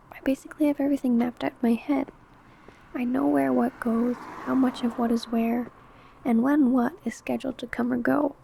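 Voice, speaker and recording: A secretive vampire coworker, acted out by a woman in an ASMR roleplay. Her voice sits fairly high yet stays soft and mellow, with a creaky edge. She talks close and hushed, coy and sly, as if sharing gossip with you alone. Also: slight background noise, rough recording. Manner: hushed, chatty, coy, drifting into whispers. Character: secretive female vampire coworker, older senior, sly and possessive. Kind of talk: ASMR roleplay addressed to the listener.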